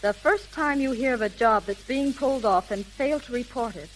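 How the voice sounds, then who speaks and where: High female voice, actress playing earnest young detective chief, American, 1930s radio acting, sizzling old recording. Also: moderate pace, a little hiss or hum, lightly acted, poor audio. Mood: firm, commanding. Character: earnest, resolute young woman detective agency chief, formerly undercover.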